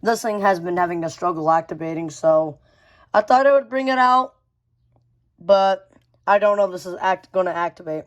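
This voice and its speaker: Low-pitched woman rambling casually. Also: normal speed. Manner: rambling, often repeating phrases. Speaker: radio collector showing a collection. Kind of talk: casual unscripted show-and-tell.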